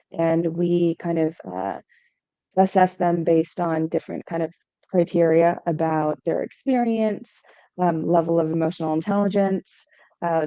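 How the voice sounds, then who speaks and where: Young woman from hawaii on a podcast, lowish mellow voice, chatty with lots of ums, kinda dull lo-fi sound. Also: no echo. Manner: conversational, frequent "um" and "right?". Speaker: startup founder, guest. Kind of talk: podcast interview answers.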